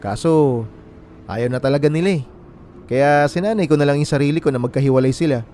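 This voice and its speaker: A man's voice: a little high, brisk, dark tone, slight background noise, close to an everyday voice. A man with a Filipino accent playing a friendly, candid young bachelor in casual radio drama dialogue. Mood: candid, resigned. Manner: casual, conversational.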